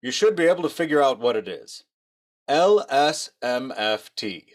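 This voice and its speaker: An actor playing a cheerful husband who is wrapping a birthday present, in a radio comedy recreation. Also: male voice, sing-song, normal speed, natural voice. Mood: jovial. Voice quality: chummy, sing-song pitchman. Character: jolly fussy husband planning a surprise party. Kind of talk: 1950s radio comedy acting.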